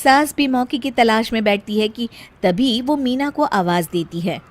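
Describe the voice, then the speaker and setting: A woman's voice: a little high, measured pace. A woman storyteller reading scripted narration for a story.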